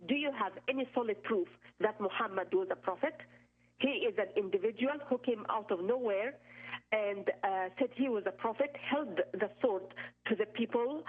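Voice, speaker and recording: A woman's voice: medium pitch, moderate pace, breathy edge. Woman caller on a phone line. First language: Arabic. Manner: hesitant, challenging. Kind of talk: call-in question.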